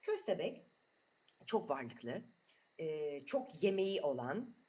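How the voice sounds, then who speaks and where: A woman's voice: low-pitched, big pitch swings, slow, mellow. A woman telling a story to children in an improvised, chatty way, asking the listeners questions as she goes.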